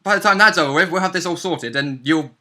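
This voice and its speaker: Very bright, sharp-voiced man co-hosting. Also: on the high side, quick pace. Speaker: co-host and player. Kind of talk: casual banter about a tabletop game.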